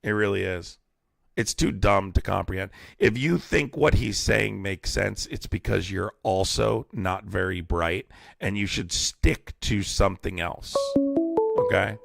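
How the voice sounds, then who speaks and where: Hoarse gravelly man, low and exasperated. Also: measured pace, no room sound, studio quality, soft background music. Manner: exasperated, mocking, blunt. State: losing his voice. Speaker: streamer hosting call-in debates. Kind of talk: heated live-stream debate.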